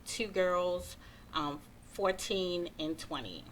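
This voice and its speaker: A woman's voice: on the low side, takes it slowly, a little hiss or hum, poor audio. A woman in her early forties from Baltimore, speaking casually as a panel guest. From Baltimore, United States. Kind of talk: casual spoken self-introduction and opinion.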